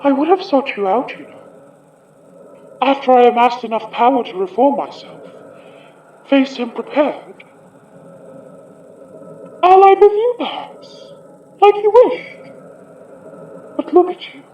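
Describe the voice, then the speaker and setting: A woman's voice: high voice, sing-song, slow, long pauses, darkish tone, echoey room, distant mic, highs cut off, slight background noise, heavily acted. A woman playing a possessing spirit in an audio drama, a polished and menacing sorceress who taunts with condescending politeness.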